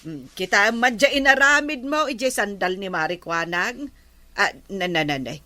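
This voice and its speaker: A woman with an Ilocano accent playing a grudging neighbour who won't lend her things, in a pointed, drawn-out radio drama style. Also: medium pitch, sharp tone, no room sound, lightly acted. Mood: refusing.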